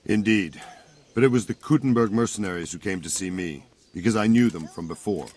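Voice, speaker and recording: A man's voice: low voice, a little fast, a little mellow. An actor playing a seasoned, plain-spoken soldier captain in a video game, patiently explaining things to a younger man. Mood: world-weary, explaining. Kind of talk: video game cutscene acting.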